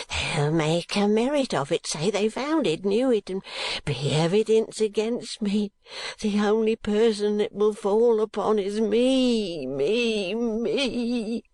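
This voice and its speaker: A shrill, frantic old miser wails and gasps in ruin,. His voice leaps high and cracks into hushed whispers. The sound is close and dry. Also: dry recording. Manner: screeching, whispering in panic.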